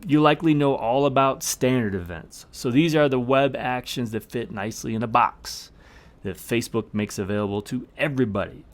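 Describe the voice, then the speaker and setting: A man's voice: medium pitch, fairly quick. A man hosting a podcast, explaining tips in a scripted monologue with emphatic, short punchy phrases. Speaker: podcast host.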